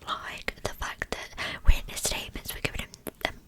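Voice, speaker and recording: A woman hosting true crime ASMR, telling her material entirely in a soft, breathy, unvoiced whisper. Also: normal speed.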